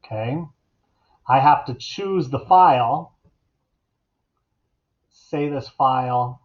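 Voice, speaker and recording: A middle-aged man talks through a software demonstration in a casual teaching style. His voice sits at a medium pitch. The recording is slightly dull and lo-fi, as from a computer microphone. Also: slow pace. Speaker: educator giving a software tutorial. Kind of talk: casual instructional screencast narration. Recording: dull, lo-fi computer mic sound.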